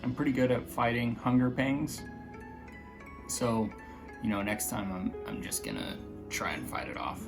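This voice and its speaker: A man with a slightly raspy voice chatting casually in a vlog, hesitant, with many fillers and restarts. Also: mid-range voice, expressive, unhurried, music in the background. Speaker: vlogger.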